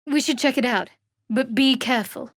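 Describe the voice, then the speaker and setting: A close, dry studio sound carries a young female cleric of a dark goddess, in a fantasy video game. Her voice sits fairly high. She is guarded and sardonic, with cool, wry, clipped delivery. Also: normal speed, no echo. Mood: guarded, low-key.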